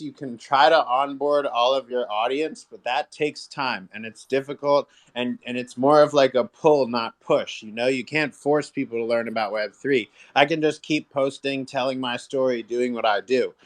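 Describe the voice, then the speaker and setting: A man's voice: mid-range voice, fairly bright tone. A man giving his opinion in casual, explanatory panel talk, stressing his points. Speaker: panelist in an online music discussion.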